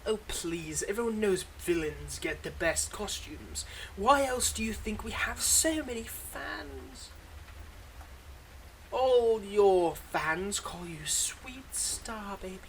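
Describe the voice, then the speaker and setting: A man's voice: high voice, takes it slowly, slight background noise, low-quality recording. A man playing a weary, wry supervillain with a secretly kind side, talking conversationally and a little hesitantly in an intimate roleplay audio monologue. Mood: teasing, fond.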